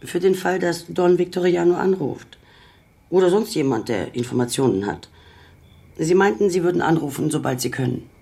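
Middle-aged housekeeper, very low female voice, careworn and motherly, evasive, naturalistic radio drama. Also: expressive, normal speed, vocal fry, warm tone, breathy edge, exaggerated voice. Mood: anxious, pleading.

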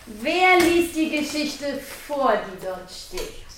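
Caring, firm teacher, high voice, slow delivery, radio play, echoey noisy room. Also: female voice, very animated, full character voice. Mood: instructing. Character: caring but firm female primary school teacher. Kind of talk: children's radio play dialogue.

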